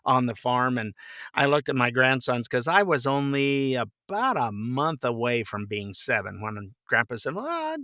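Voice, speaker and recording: A man's voice: medium pitch, a little slow, bright, forceful tone, no room sound, clean studio sound. An older man, in his sixties or beyond, chatting casually and telling anecdotes in a folksy, joking way as a podcast guest. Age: 60s or older.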